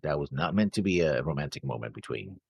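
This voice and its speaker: Deep-voiced man, halting and lively. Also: creaky, husky, lo-fi sound. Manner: hesitant, halting, trails off at the ends of phrases. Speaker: reaction show co-host. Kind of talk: casual discussion of an anime episode.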